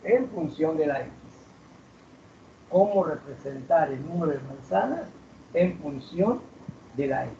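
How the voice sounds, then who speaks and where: A man teaching math to a class, asking questions and drawing out key words slowly. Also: a little high, animated, creaky, mellow, slight background noise. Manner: asks students questions, drags out key words. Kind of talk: classroom lecture. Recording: roomy, distant, dull classroom recording.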